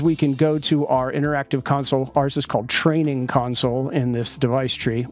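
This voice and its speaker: A man narrating a step-by-step software tutorial as a training instructor, explaining things in a clear, methodical way. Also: medium pitch.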